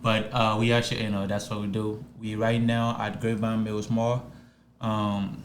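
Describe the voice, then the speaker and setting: A man's voice: lowish voice, monotone, normal speed, echoey room, a little hiss or hum. A man from Liberia chatting as an interview guest, hesitant, with lots of ums and restarts. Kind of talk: casual interview chat.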